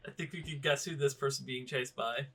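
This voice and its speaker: A man chatting casually with friends as he reacts, excitable and quick to swear. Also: mid-range voice, noisy background.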